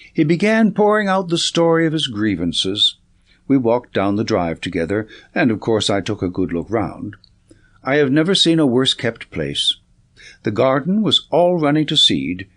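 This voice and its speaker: Expressive male audiobook narrator. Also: mid-range voice. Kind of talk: scripted literary narration, dramatised reading.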